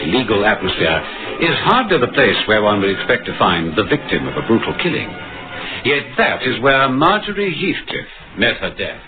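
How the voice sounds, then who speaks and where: An actor playing a measured, dryly grave police inspector narrating his case in an old radio crime drama. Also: fairly low pitch. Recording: muffled old radio recording with music.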